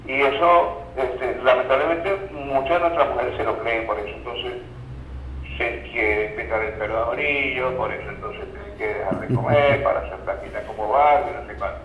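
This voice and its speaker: A man explaining ideas as an academic interview guest, halting and repeating words as he searches for phrases. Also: medium pitch, fairly even intonation, fairly bright tone. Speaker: interview guest, university professor and researcher. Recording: roomy, distant, dull sound with faint noise.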